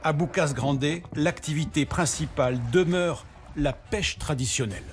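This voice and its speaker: A man's voice: a little high, normal speed. A man narrating a scripted travel report as a television news reporter. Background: background music.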